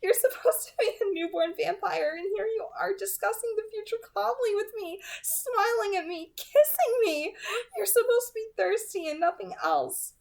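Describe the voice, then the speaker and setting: A woman's voice: very high-pitched, normal speed, creaky, a little mellow, voice pushed up. A woman reading aloud as a joyful, amazed, affectionate female vampire from a novel, giggly and exclaiming. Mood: amazed, delighted. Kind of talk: expressive audiobook character reading.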